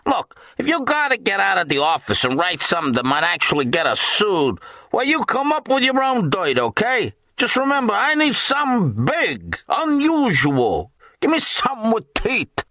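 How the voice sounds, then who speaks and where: A man's voice: high-pitched, wildly swooping pitch, normal speed, sharp tone, no echo, studio quality, pitched above the performer's own voice, exaggerated voice. A man voicing a crass middle-aged tabloid boss, an ex-thug who is blunt and impatient, in an audiobook. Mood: impatient, barking. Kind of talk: audiobook character acting.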